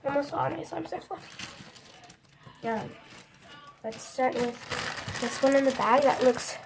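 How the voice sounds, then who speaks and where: A high-pitched teenage girl talks casually to her phone, her voice stuffy and congested from a cold. She rambles, full of likes and false starts. A faint hiss sits under the recording. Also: measured pace. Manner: rambling, many likes and restarts. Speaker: vlogger. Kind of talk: casual chat to camera.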